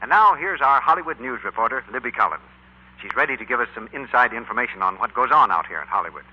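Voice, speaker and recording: A man's voice: mid-range voice, animated, fast talker. An American man announcing in 1940s radio style. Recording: dull, lo-fi 1940s radio recording.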